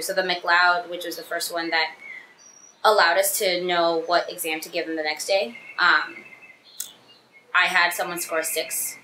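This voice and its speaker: A woman in her early twenties speaks as a trainee teacher. Her voice is slightly low but bright and forward. The room echoes, with faint noise behind. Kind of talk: personal account to camera.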